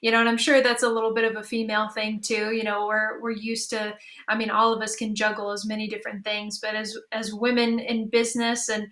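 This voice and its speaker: A woman's voice: medium pitch, monotone, fairly quick, a bit bright. A woman chatting casually and informally on a panel as a creative artist. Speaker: musician and audio drama creator on a panel.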